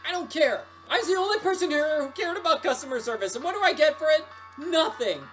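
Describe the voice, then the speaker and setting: A woman's voice: mid-range voice, lively intonation, brisk, faint music behind, lightly acted. A woman voicing a fed-up, sarcastic young male pizza worker who complains bitterly in a story. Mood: angry ranting. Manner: exasperated, complaining.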